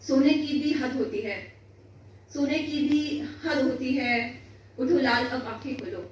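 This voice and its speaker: A woman delivers poetry in a high voice, taking her time over each line. The manner is solemn and emphatic, with phrases said again for weight. The sound is very roomy, with faint noise behind. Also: unhurried, muffled recording. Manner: solemn, emphatic repetition of lines. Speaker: poet reciting own poems. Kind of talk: Hindi poetry recitation before listeners. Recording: roomy echo, distant mic, faint noise.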